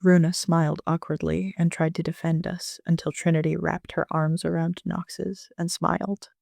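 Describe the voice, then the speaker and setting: A man's voice: on the high side, little pitch movement, normal speed, creaky, a little mellow, dry recording, clean studio sound. A man reading scripted first-person story narration as an amateur author.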